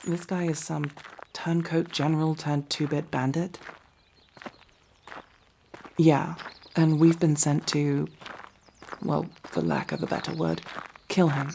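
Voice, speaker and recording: A woman acts out a young female elf rogue in a fantasy ASMR roleplay, proud, nervous and quick to defend herself. Her voice is low and slow, wry and halting. A faint noise sits behind her. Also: creaky, dark tone. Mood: wry, defensive.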